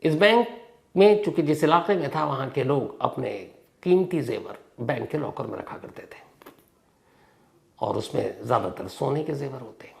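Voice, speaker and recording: Male true-crime host, heavy vocal fry, conversational narration, emphatic openings and trailing-off endings. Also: lively intonation, a little mellow. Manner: dramatic pauses and emphatic stresses, sentences trailing off low.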